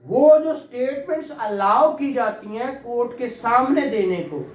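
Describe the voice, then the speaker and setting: A man's voice: very high voice, animated, unhurried, roomy sound. A man teaching law to a class, quizzing students, repeating key terms and praising answers. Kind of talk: classroom law lecture with questions.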